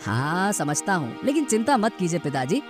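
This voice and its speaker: A woman's voice: on the low side, very animated, moderate pace. A woman playing a caring, reassuring younger son in a cartoon moral story. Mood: sympathetic. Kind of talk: animated moral story dialogue. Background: background music.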